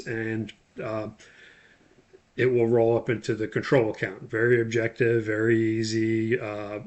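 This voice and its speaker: A man instructor explaining material in a loosely scripted lecture, plain-spoken with opinionated asides. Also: mid-range voice.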